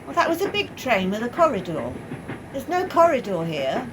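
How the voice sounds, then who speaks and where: A put-upon British mother, played by a woman in a radio play, with a high, very lively voice. She scolds and grumbles bluntly in a resigned way over clear train noise. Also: normal speed. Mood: scolding. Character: put-upon, plain-spoken working-class mother of a young child.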